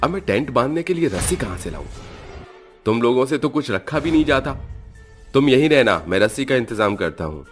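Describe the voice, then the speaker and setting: A man's voice: medium pitch, big pitch swings, brisk, soft background music, lightly acted. A man voicing a bold, cocky young camper in a horror story, slangy and bossy.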